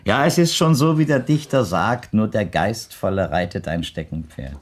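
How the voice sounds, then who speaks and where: An actor playing an old blind gentleman in a radio drama, courtly and gallant, with ornate, formal phrasing. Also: lowish voice, animated, normal speed, slight background noise, close to an everyday voice. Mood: insistent, lecturing. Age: older. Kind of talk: German radio drama acting.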